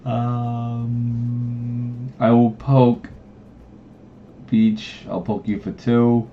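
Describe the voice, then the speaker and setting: A man with a Boston accent playing a card game, laid-back and counting his cards out loud. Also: medium pitch, flat delivery, drawn-out words, faint music behind. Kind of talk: casual game-table chat. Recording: dull lo-fi audio.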